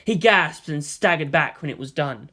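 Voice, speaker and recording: A man's voice: fairly high pitch, moderate pace, bright, forceful tone. A man narrating a fan fiction story in a dramatic scripted reading style. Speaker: fan fiction audiobook reader.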